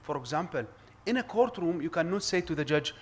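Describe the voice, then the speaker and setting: A man's voice: fairly high pitch, expressive, brisk, a little hiss or hum. A middle-aged man with an Arabic accent speaking as an arbitrator at a conference panel, earnest and emphatic, often restarting and repeating words. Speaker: arbitrator and lawyer.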